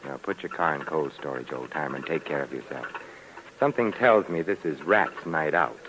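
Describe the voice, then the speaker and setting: An actor playing an urbane, witty gentleman sleuth with mock-polite delivery in an old-time radio drama. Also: very deep voice, fairly quick. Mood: wry, amused. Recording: noisy vintage radio recording.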